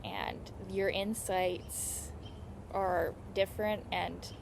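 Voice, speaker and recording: A woman astrologer and healing coach with vocal fry, giving a casual, earnest and reassuring astrology lesson, with small clicking noises from her mouth. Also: on the low side, fairly even intonation, drawn-out words, background noise. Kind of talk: casual astrology teaching monologue.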